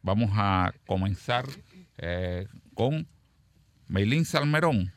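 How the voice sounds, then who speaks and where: Male radio host, low voice, dry close sound, formal and measured with fillers. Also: lively intonation, dry recording. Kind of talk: community radio panel.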